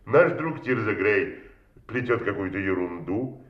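An actor playing a genteel, evasive wealthy gentleman under suspicion in an audio drama, urbane and ironic in manner. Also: fairly low pitch, lively intonation, measured pace, reverberant, recorded from a distance, lo-fi sound. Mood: ironic, composed.

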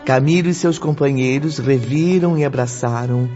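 A man's voice: mid-range voice, moderate pace, warm tone. A man delivering scripted narration for a radio drama. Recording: muffled audio under music.

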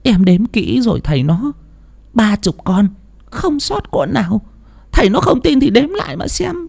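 A man voicing a frightened elderly countrywife, stammering and shrill in a storybook tale. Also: sing-song, moderate pace, creaky, darkish tone, slightly rough, exaggerated voice. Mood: indignant.

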